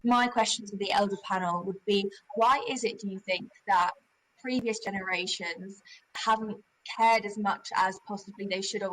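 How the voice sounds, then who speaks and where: A woman's voice: medium pitch, fairly bright tone, low-quality recording. Young female questioner, mid register, prepared wording, light ambient noise behind. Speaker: questioner to a panel.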